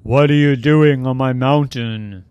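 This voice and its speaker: A man voicing a big, booming god in a storybook tale, dismissive and mocking in manner. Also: sing-song, normal speed, fairly bright tone, dull audio. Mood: indignant. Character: big, loud, scornful male thunder god.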